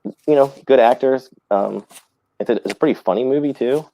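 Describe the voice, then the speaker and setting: A man's voice: medium pitch, moderate pace, dark tone, slight background noise, rough recording. A man chatting casually about films as co-host of a live stream.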